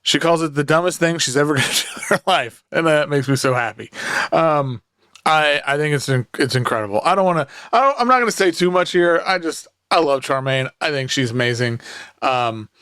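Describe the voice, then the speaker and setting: A man's voice: a little high, animated, a little fast, bright, forceful tone, smooth, no echo, clean studio sound. A man hosting a music podcast, introducing his guest with rambling enthusiasm. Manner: enthusiastic, rambling, many fillers. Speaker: podcast host.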